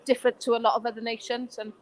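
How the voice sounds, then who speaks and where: A woman's voice: a little high, little pitch movement, very fast, rough recording. A Welsh woman and former player answering interview questions in an earnest, passionate way. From Wales. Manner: earnest, passionate, warm praise.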